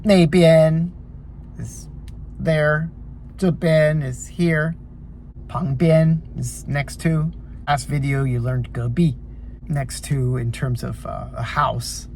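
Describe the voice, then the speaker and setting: A man's voice: a little high, fairly even intonation, takes it slowly, a little mellow, a little hiss or hum. A man, middle-aged, hosting a casual, chatty vlog that teaches language with rambling asides. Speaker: video host teaching Chinese characters.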